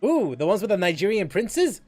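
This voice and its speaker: High-voiced, lively male gaming streamer. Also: normal speed, over music. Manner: expressive, repeats phrases, slangy. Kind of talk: casual game playthrough commentary.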